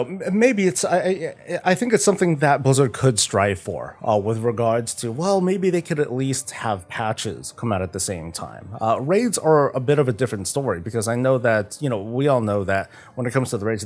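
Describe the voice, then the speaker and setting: A man chatting casually on a gaming livestream, halting and often stumbling over repeated words. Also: medium pitch. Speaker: streamer, host of a morning show. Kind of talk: casual livestream chat about games.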